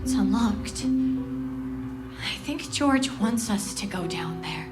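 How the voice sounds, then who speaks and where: An actress playing a distraught, stubborn daughter with emotional, halting delivery in a horror audio drama. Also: female voice, mid-range voice, expressive, over music. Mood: hushed determination. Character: distraught, stubborn young daughter drawn to the uncanny.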